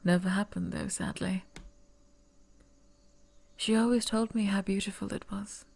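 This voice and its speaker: A woman voicing a young noblewoman who would be heir, whispering softly and stammering when flustered, in an ASMR roleplay. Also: medium pitch, fairly even intonation, measured pace, vocal fry. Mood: wistful.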